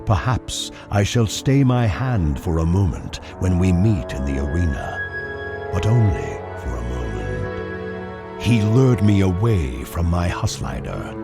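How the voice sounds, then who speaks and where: Deep, gravelly, pompous giant warrior. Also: male voice, very animated, loud music behind the voice, full character voice. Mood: haughty, menacing. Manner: pompous, slow, theatrical. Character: big, proud, menacing arena warrior, easily annoyed. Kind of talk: fantasy game movie voice acting.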